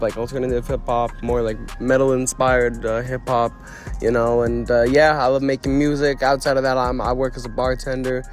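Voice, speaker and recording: A young man from Quebec, a musician being interviewed, talking casually with many fillers and restarts. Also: mid-range voice. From Quebec, Canada. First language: French. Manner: rambling, lots of fillers and restarts, slangy.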